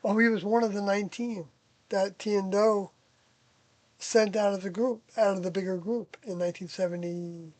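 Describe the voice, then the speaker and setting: Older interviewee recalling the past off the cuff; halting, thoughtful delivery with frequent pauses, very little room sound. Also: medium pitch, fairly even intonation, a little mellow, dry recording. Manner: hesitant, searching memory with long pauses. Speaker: interviewee, former member of a group recalling past experiences. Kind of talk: casual reminiscing.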